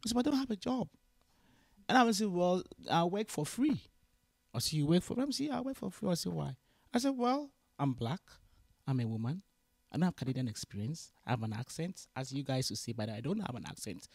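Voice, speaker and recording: A woman's voice: on the low side, fairly quick, dry recording, clean studio sound. A Ghanaian woman, a guest in an interview, who tells her story in a casual mix of English and Twi and acts out the dialogue with energy. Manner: switches freely between English and Twi, animated in retelling dialogue. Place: Canada.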